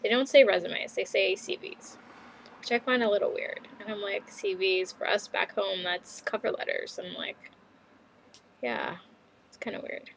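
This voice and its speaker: A woman's voice: medium pitch, fairly even intonation, moderate pace, gravelly, slight background noise, rough recording. A young woman from Canada chatting casually in a video diary, rambling with lots of ums and little laughs. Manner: rambling, many fillers and laughs. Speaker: vlogger.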